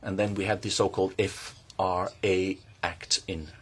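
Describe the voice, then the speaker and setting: A man speaking slowly and deliberately to a committee, with a husky, breathy edge to his voice. His pitch sits fairly low, and he pauses often as he builds each point. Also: little pitch movement, a bit bright. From Norway. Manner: measured, deliberate, with frequent pauses and hesitations. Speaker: invited speaker at a parliamentary hearing. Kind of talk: formal testimony.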